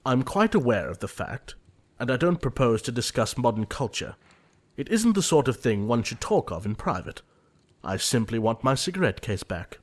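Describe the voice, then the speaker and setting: Man playing indignant, proper gentleman, animated pitch. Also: moderate pace. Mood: huffy. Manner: formal, indignant, emphatic. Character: earnest, easily exasperated young English gentleman, proper and indignant. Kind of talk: audio play acting of period comedy.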